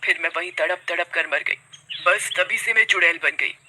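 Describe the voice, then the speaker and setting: A woman's voice: fairly low pitch, normal speed, pushed and bright. A woman voicing a vengeful, menacing witch in a horror cartoon story. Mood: sorrowful, bitter. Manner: menacing, taunting. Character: vengeful female witch who turns kite-flyers into kites.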